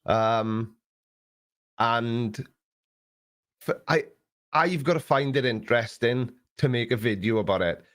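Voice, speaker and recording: A man's voice: mid-range voice, slow pace, pauses often, a bit bright. A middle-aged man hosting a live Q&A stream, chatting casually in a rambling, sarcastic, self-mocking way.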